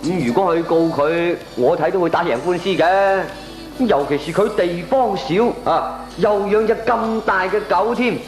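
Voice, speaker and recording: A man's voice: high-pitched, normal speed. An actor playing a scheming, gleeful male neighbour in a comic radio drama, with a Hong Kong Cantonese accent. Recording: echoey radio play with music behind.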